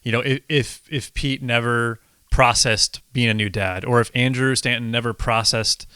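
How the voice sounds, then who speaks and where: A man co-hosting a podcast in casual chat, earnest and halting as he thinks aloud. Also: medium pitch, fairly even intonation, pushed and bright, smooth, no room sound, clean studio sound. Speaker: podcast co-host.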